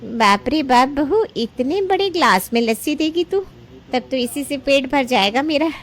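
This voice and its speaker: A woman voicing an astonished middle-aged neighbour auntie in a story drama, exclaiming in a drawn-out way. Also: high voice, expressive, voice pushed up.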